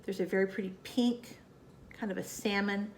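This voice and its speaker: A woman's voice: medium pitch, steady tone, moderate pace. Chatty Southern woman, nasal and scratchy. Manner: chatty, folksy, rambling with fillers and asides. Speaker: cross-stitch vlogger. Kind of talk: casual chat to camera.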